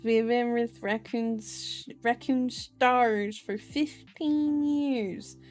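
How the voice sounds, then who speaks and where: A woman's voice: on the high side, steady tone, takes it slowly, a little mellow. A woman doing a casual ASMR book reading, chatting playfully to viewers with informal asides and correcting herself as she reads. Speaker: streamer, reader. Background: background music.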